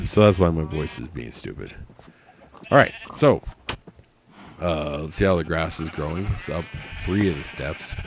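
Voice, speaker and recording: A man with a cold, hoarse and raspy, rambling through casual gameplay commentary with lots of fillers. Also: a bass voice, expressive, unhurried. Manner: rambling, thinking aloud with many fillers. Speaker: let's play host.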